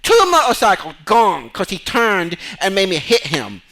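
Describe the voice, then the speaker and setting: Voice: mid-range voice, unhurried, exaggerated voice. A performer playing an exasperated male motorcycle instructor who speaks broken English, in a comedy sketch interview. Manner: broken English with dropped articles, excitable.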